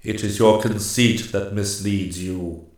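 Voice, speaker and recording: A man voicing a grave, ancient immortal master of the forest in a storybook, speaking in stern, stately, deliberate pronouncements. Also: on the low side, fairly even intonation, very echoey, close to an everyday voice. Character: grave, commanding ancient immortal master woodsman, bearded male.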